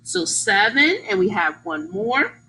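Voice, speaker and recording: Female children's read-aloud teacher: slow, very expressive delivery with big swings in pitch, asks the listeners questions. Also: a little hiss or hum, rough recording. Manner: animated, exaggerated emphasis, engaging listeners with questions.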